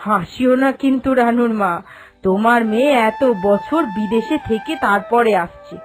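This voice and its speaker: A woman's voice: on the high side, measured pace, over music, lightly acted. A woman voicing a meddling, critical village neighbour in a cartoon, insistent and admonishing. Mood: nosy, knowing. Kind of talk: animated cartoon dialogue.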